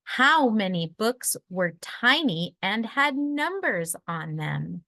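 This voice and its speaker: Bright, lively woman reading to kids. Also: medium pitch, vocal fry, no echo. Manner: deliberate, expressive storytelling for young children. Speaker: children's book reader. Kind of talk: read-aloud of a children's picture book.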